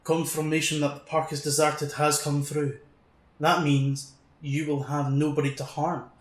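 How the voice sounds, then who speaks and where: An echoey, roomy recording of a Scottish military commander, acted by a man. He is gruff and combative, giving orders and taunts with a brash, sardonic edge in an audio drama. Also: a little high, little pitch movement, a little slow, lightly acted. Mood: commanding.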